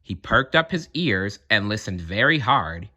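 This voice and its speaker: A man reading a children's story aloud in an expressive narrating style. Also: fairly low pitch, measured pace. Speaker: storybook reader.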